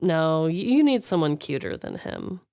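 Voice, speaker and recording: A woman co-hosting a podcast in an expressive, informal chat with listeners and her co-host. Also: low voice, normal speed, dry recording, clean studio sound. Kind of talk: casual chat, reading listener questions.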